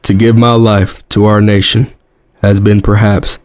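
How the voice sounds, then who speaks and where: Man acting a low, steady, solemn American president. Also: no echo, clean studio sound, close to an everyday voice. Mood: solemn, resolute. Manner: measured, oratorical. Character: solemn American president delivering a farewell address. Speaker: president giving address. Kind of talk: solemn scripted presidential address.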